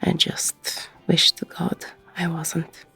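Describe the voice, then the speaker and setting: Woman acting a low, husky, world-weary ex-con bartender. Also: monotone, takes it slowly, bright, forceful tone, whispered, faint music behind, full character voice. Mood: regretful, subdued. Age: middle-aged. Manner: world-weary, self-deprecating, blunt. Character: weary, gruff ex-con woman bartender, self-loathing but protective. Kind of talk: intimate audio roleplay acting.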